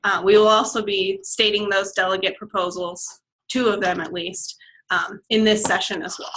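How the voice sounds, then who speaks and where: A young woman serving as a state student officer, calmly explaining convention steps on a conference call, reassuring and dotted with "um"s. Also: medium pitch, moderate pace, rough recording. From Nebraska. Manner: friendly, reassuring, with frequent "um"s.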